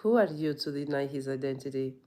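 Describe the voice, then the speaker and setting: A woman's voice: a bass voice, fairly quick, warm tone. A woman yoga teacher in a reflective personal monologue, earnest and rambling, often saying "you know".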